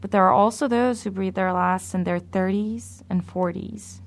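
A woman preaching a sermon in a slow, measured, deliberate way. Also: mid-range voice, fairly even intonation.

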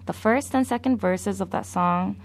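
A woman preaching a sermon in a slow, measured, deliberate way. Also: mid-range voice, creaky.

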